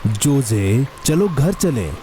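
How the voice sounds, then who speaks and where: Lively, patient cartoon helper. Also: male voice, medium pitch, takes it slowly, noisy background. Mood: concerned. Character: caring, sensible male friend and minder, patient. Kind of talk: children's cartoon dub.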